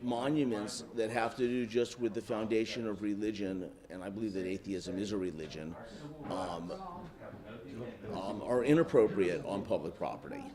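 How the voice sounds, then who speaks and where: A man's voice: fairly low pitch, moderate pace. A man making a public comment at a meeting, with people heard behind him. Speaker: local resident speaker. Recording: crowd noise in background.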